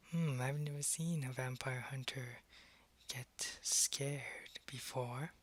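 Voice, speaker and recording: A man playing a suave, possessive, centuries-old vampire in an ASMR roleplay, taunting and condescending, often in a breathy half-whisper. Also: medium pitch, bright, forceful tone, full character voice. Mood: possessive, mocking. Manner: slow, deliberate, taunting, condescending.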